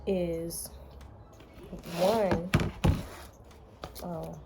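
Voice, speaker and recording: An American woman vlogger chatting casually in a playful, sassy, slangy way, her voice slightly hoarse. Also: fairly low pitch, steady tone, very slow, heavy background noise. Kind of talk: casual chatty day-in-the-life vlog.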